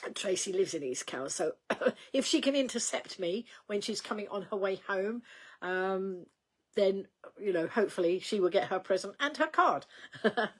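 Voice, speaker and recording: An older woman hosting a casual livestream, rambling in a chatty way with many ums and small laughs, her voice going slightly croaky. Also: medium pitch, expressive, normal speed. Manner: chatty, rambling, reads out viewer comments, frequent ums and laughs. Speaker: livestream host.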